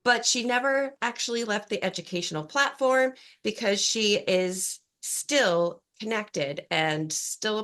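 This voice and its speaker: A woman hosting a podcast as an empowerment coach, upbeat and encouraging, her voice slightly hoarse from a cold. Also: medium pitch, a bit bright. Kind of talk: scripted intro then interview chat.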